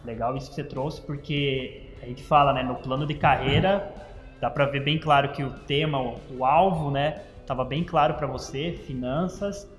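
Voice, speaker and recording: A man's voice: mid-range voice, fairly even intonation, moderate pace, roomy sound, long reverb tail, faint music behind. A man hosting a career interview. He asks questions and sums up what his guest says. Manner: probing, summarizes the guest's points. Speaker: career coach host.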